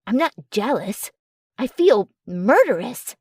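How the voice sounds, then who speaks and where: A woman's voice: fairly high pitch, sing-song, slow pace, husky, no room sound, clean studio sound, full character voice. A woman voicing a feisty, blunt, possessive young female werewolf in love, in audiobook dialogue. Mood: sulky, jealous.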